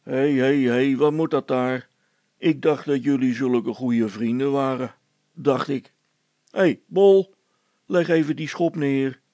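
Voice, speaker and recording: A man's voice: medium pitch, takes it slowly, dark tone, no echo, natural voice. A man voicing an officious village constable who admonishes people in colloquial speech, in a storybook tale. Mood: admonishing. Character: officious male village constable keeping order.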